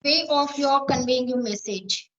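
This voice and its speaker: A woman's voice: high voice, unhurried, fairly bright tone, muffled recording. A woman with an Indian English accent answering interview questions in earnest, repetitive phrasing with fillers. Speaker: English learner being interviewed. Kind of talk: spoken English practice interview.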